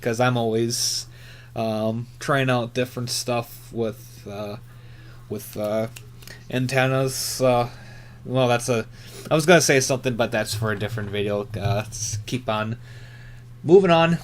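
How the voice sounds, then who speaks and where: A man giving casual, unscripted commentary as a hobbyist channel host, rambling and haltingly correcting himself as he goes. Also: medium pitch, slight background noise.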